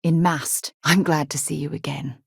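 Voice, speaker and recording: A seasoned former commander turned space explorer in a video game. Her voice sits slightly low and is recorded dry. She speaks earnestly and articulately, with warmth and firm principles. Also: steady tone, moderate pace, gravelly, husky, dry recording, exaggerated voice. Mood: friendly, light. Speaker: game companion character. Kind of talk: video game voice acting, dramatic dialogue.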